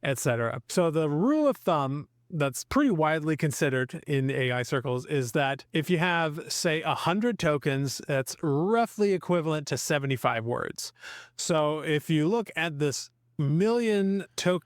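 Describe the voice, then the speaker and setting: Male explainer: somewhat higher-pitched voice, conversational instructional delivery, very dry close sound. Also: expressive, a little slow, no echo. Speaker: presenter of an explainer video. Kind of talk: casual tutorial explanation.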